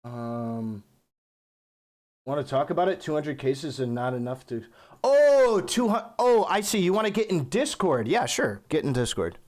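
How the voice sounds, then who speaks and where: A blunt male streamer who hosts call-in debates on a live stream. His talk is casual and profane, with the occasional cough and throat-clear into a desk mic. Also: fairly high pitch, sing-song, a little slow, a little mellow. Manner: blunt, casual, swears freely.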